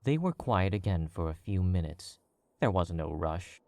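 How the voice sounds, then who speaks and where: A man narrating a story in a measured, even storytelling manner. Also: low-pitched, dry recording. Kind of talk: scripted audiobook narration.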